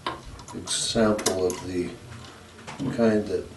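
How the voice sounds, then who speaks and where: A man with a lowish voice talks through a hands-on repair job. His delivery is very slow, hesitant and unscripted. The sound is noticeably roomy, with a noisy background. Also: fairly even intonation, recorded from a distance. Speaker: electronics repair video host. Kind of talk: hands-on repair tutorial narration.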